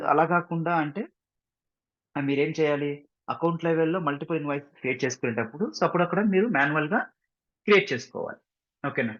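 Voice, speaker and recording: A man with an Indian accent giving an informal software tutorial, repeating himself, mixing in English terms and often checking that listeners follow. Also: fairly high pitch, highs cut off. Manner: repetitive, frequent check-in questions, mixes English terms into speech. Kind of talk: screen-share tutorial, informal explanation.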